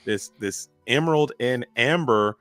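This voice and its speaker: A man giving lively, joking play-by-play commentary on a live card game. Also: mid-range voice, no echo, faint music behind. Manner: energetic, joking, drawn-out emphasis.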